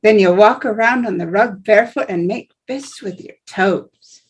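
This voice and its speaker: A woman's voice: mid-range voice, moderate pace, breathy edge, close to an everyday voice, rough recording. A woman reading the part of a chatty male businessman on a plane, in a friendly, confiding advice-giving tone. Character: chatty male businessman passenger, a know-it-all. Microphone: video call.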